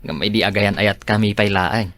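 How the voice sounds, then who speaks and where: A man's voice: on the low side, moderate pace, fairly bright tone, no room sound, close to an everyday voice. Earnest young-sounding Ilocano man in a radio drama, acted. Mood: calm, earnest. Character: protective, exasperated man, godfather-like friend to his ex.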